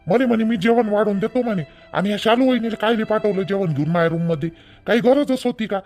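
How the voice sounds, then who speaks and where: A man's voice: sits high, fast, darkish tone, faint music behind. A man voicing a blunt, demanding young married son in an amateur village cartoon, with a Vidarbha Marathi accent. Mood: resentful, curt.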